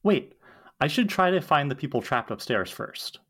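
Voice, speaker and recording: A woman playing an enthusiastic, anxious young college witch in a podcast table read, dramatic and halting when flustered. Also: deep voice, very animated, fairly bright tone, husky, heavily acted. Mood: excited, determined.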